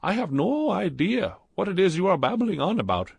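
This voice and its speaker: A man voicing a flirtatious, pompous middle-aged male hotel clerk with a put-on Indian accent, in a high, sing-song, overly formal way. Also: wildly swooping pitch, moderate pace, vocal fry, breathy edge, no echo, heavily acted. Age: 40s.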